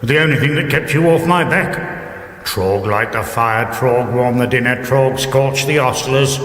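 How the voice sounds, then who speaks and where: A man's voice: medium pitch, measured pace, a bit bright, close to an everyday voice. A man playing a smug, bored, gossipy dragon in a radio comedy fantasy, urbane and pompous with henpecked grumbling. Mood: bored, weary. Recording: echoey reverberant radio production, faint noise.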